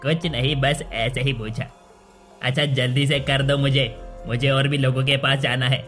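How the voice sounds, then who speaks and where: A man acts out a sly, greedy minister of a royal court in a cartoon tale. His voice is forceful and sharp, servile on the surface and conniving underneath, with music playing behind it. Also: mid-range voice, flat delivery, normal speed, close to an everyday voice. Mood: brusque. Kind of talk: Hindi cartoon story acting.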